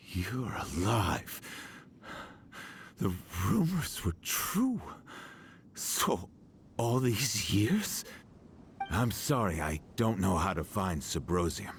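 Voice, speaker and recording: An actor playing a gentle, weary old man who speaks softly and kindly in a fantasy game. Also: sits low, gravelly, slight background noise, exaggerated voice. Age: older. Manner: slow, soft-spoken, kindly. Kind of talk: game voice acting.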